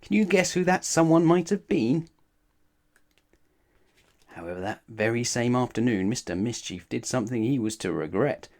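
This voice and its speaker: A man's voice: medium pitch, animated, moderate pace, pauses often, a little mellow. A man reading a children's story aloud as narrator, expressively and with dramatic emphasis. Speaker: storybook reader.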